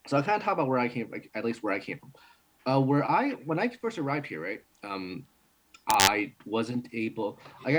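A young man from New York City, a martial arts instructor and guest, talking in quick run-on sentences with restarts, laughs and blunt swearing. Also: medium pitch, low-quality recording. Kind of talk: casual interview.

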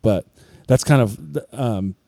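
A man's voice: medium pitch, measured pace, vocal fry, warm tone, no room sound. A man co-hosting a church podcast, talking candidly and confessionally in casual chat, often circling back and restarting his sentences.

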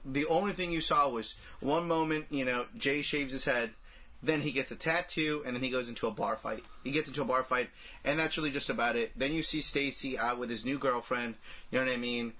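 A man with a bright, forward voice at middling pitch. He talks casually as a podcast co-host, restarting and repeating phrases in a slangy, halting flow. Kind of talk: casual film discussion.

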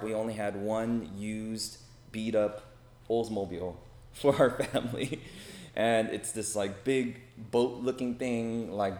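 A man in his forties with an American accent gives an informal, conversational talk to a group, sharing personal anecdotes and often checking in with short tags. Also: lowish voice. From Los Angeles, United States. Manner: conversational, frequent check-in tags like "okay?" and "right?". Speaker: speaker giving a talk. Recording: roomy echo, faint background noise.

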